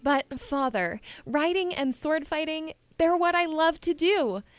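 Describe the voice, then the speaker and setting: A woman's voice: high voice, measured pace, soft background music. A woman playing a headstrong, spirited young princess in a fantasy audio drama, earnest and pleading with a defiant streak. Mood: urgent pleading.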